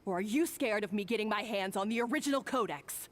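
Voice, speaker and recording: A woman's voice: medium pitch, fast talker, a little hiss or hum, close to an everyday voice. An actress playing a confident young female rival chef in a game, who taunts and challenges her opponent. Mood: taunting. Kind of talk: game voice acting.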